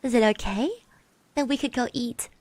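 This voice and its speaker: A woman playing a shy, sweet schoolgirl classmate in an ASMR roleplay, speaking softly and hesitantly to the listener. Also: a little high, normal speed, no room sound, natural voice. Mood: sweetly pleading. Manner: hesitant, stammering, often dropping to soft murmurs and whispers.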